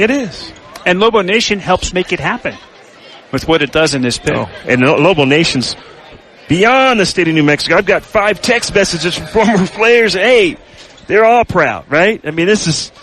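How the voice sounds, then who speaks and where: Excited male broadcaster in an arena. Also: on the high side, slight background noise. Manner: excited, proud. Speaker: play-by-play broadcaster. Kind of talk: postgame radio sign-off.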